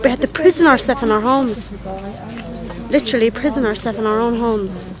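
A woman in her thirties talks spontaneously in an interview outdoors, close to a handheld camera. Her voice is fairly high and her delivery is emphatic, with repeated phrases. People can be clearly heard around her. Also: fairly quick. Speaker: resident interviewee. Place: outdoors at night. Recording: outdoor crowd noise behind.

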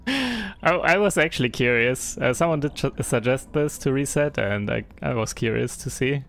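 A man's voice: medium pitch, expressive, moderate pace, gravelly, fairly bright tone. A young man with a German accent chatting casually through a live game stream, reading and answering viewer chat with frequent hesitations.

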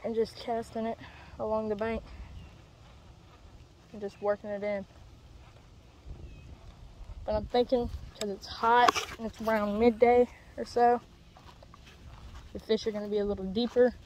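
A man's voice: high-pitched, flat delivery, a little slow, long pauses, a little mellow, low-quality recording. A young teen boy, chatting plainly through a fishing vlog outdoors by a pond. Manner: chatty, plain, explains as he goes. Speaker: vlogger. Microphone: handheld camera, shifting distance. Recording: outdoor noise, camera mic.

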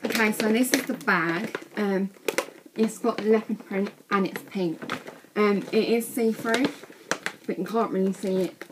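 A teenage girl, chatting casually in a vlog from her bedroom, a bit croaky from being ill, with lots of ums. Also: medium pitch, measured pace, noisy background. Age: teens. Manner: chatty, rambling with many ums. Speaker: vlogger. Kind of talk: casual vlog showing bag contents. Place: small bedroom indoors.

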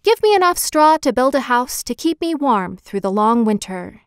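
A woman's voice: high-pitched, very animated, moderate pace, clean tone, no room sound, studio quality. A woman voicing a small, cheerful young male piglet in a storybook, with a perky sing-song manner. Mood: pleading. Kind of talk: storybook character voice.

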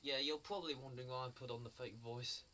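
An actor playing a silly, excitable, sheepish bungler in a comic monologue, chattering in a flustered way. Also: male voice, mid-range voice, fast talker, lightly acted. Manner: flustered, chatting to himself and his pets. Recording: distant mic, faint background noise.

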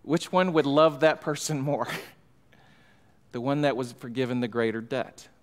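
A man's voice: mid-range voice, moderate pace. A middle-aged man preaching an expository sermon from a pulpit microphone, emphatic and building in fervor. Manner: emphatic, rising in fervor, gestures while preaching. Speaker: pastor. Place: church sanctuary, large room indoors. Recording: long hall reverb.

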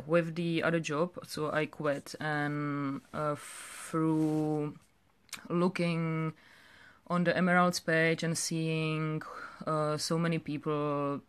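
A young woman with a Czech accent tells her personal story off the cuff, halting and repeating words. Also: low voice, a bit bright, clear, resonant tone. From Czech Republic. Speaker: direct sales director telling her story. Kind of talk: unscripted personal story to camera. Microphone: phone or webcam held close.

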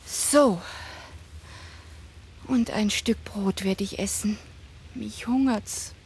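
A woman in a German radio play, playing a gentle, lonely young fairy-tale princess who speaks simply and earnestly. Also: medium pitch, extremely slow, slight background noise. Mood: weary.

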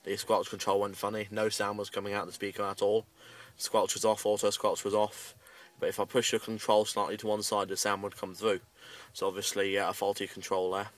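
British male hobbyist vlogger, lowish and very monotone, casual rambling update, slightly off-mic. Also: fairly quick, few pauses, recorded from a distance. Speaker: amateur radio hobbyist making a video update. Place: inside a parked car.

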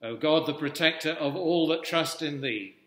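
A man in his sixties, an Anglican priest, reading traditional prayers in a solemn, measured, formal way in an echoey church. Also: a little high, a bit bright. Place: indoors at a wooden-panelled cathedral altar. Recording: echoey church with long reverb.

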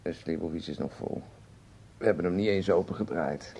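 Here is a weary, disillusioned Dutch lover in a radio drama. His voice is deep, with faint noise behind it, and he grumbles languidly about shutting out the world. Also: a little fast, gravelly, dark tone. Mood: grumbling. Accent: Netherlandic Dutch.

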